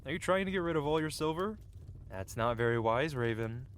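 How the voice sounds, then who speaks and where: A man's voice: medium pitch, expressive, a little fast, natural voice. A man playing a cocky, flirty yet tender-hearted medieval blacksmith in an intimate, theatrical ASMR fantasy roleplay. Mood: playful teasing. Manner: teasing, theatrical, with soft hesitations. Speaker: audio roleplay performer. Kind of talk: ASMR roleplay acting, intimate one-sided dialogue.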